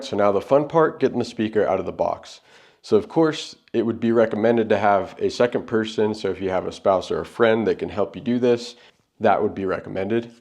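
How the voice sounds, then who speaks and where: A man's voice: fairly low pitch, a little mellow. A man hosting an unboxing, giving casual, plain-spoken step-by-step setup instructions. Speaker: product unboxing host.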